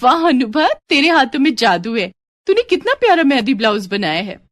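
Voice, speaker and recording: A bubbly young college girl, played by a woman narrator, high and gushing with admiration. It is a storybook voice, recorded dry. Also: sing-song, fairly quick, dry recording. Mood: admiring.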